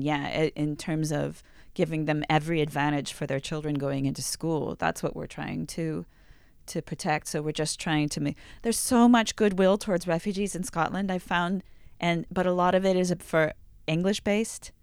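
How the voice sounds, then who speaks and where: A woman's voice: low-pitched, steady tone, moderate pace, vocal fry, a little mellow, clear, resonant tone, no room sound, studio quality. A woman speaking in an interview about refugee families and their home languages, earnest and searching for words.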